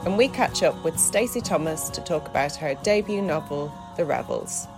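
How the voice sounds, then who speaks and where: A woman co-host reads a scripted opening for a book podcast, in a lowish, steady voice. Loud music plays beneath her throughout. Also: moderate pace, rough recording. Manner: read-aloud welcome.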